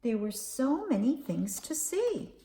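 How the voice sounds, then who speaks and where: A woman hosting a children's storytime, reading aloud and leading counting rhymes in a slow, expressive, sing-song way. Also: high-pitched. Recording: echoey room with faint background hiss.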